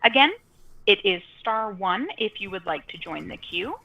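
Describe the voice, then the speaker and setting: A woman's voice: medium pitch, sing-song, moderate pace, gravelly. A woman working as a conference operator, reading scripted instructions politely over a telephone line.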